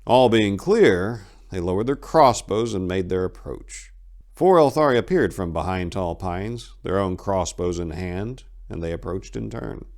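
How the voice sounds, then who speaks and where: A male audiobook narrator reads dark fantasy with theatrical suspense. His voice sits low and moves through a wide, animated range, keeping the tale tense and dramatic. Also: a little slow.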